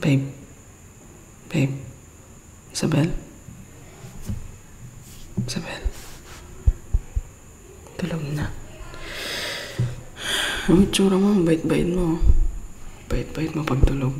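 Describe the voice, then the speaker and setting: A woman's voice: low voice, slow, long pauses, warm tone. A woman with a Filipino accent performing an intimate ASMR roleplay as an exasperated but doting girlfriend, scolding playfully and coaxing tenderly. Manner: playful scolding mixed with tender coaxing, code-switching into English. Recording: echoey room, long reverb, faint background noise.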